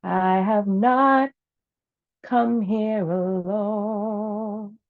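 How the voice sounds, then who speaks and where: A woman singing a slow, repetitive, gentle chant like a lullaby. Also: mid-range voice, no echo. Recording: dry, tinny phone-like with music.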